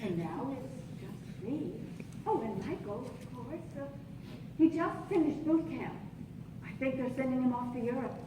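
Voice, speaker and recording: A woman's voice: high voice, expressive, unhurried. Woman acting a regretful widow, distant and echoey. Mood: wistful. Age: middle-aged. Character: regretful middle-aged widowed mother, old flame. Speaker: stage actor. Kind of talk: live stage radio play, 1940s film romance parody. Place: theatre stage, live performance. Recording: echoey, distant, noisy stage recording.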